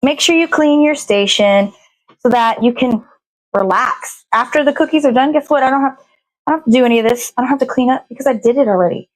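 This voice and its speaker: A woman's voice: high-pitched, fast talker, rough recording. A woman chatting casually as she cooks in her kitchen, rambling and thinking aloud with little sing-song asides. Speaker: show host. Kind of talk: casual chat while cooking. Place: home kitchen.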